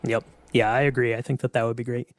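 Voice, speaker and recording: A man's voice: medium pitch, little pitch movement, quick pace, dry recording, studio quality. A man hosting a podcast in a casual discussion, speaking conversationally. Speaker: podcast host.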